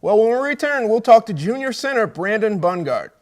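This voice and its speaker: A man's voice: high-pitched, sing-song, brisk. An American man hosting a college sports show, asking questions in a conversational interview style.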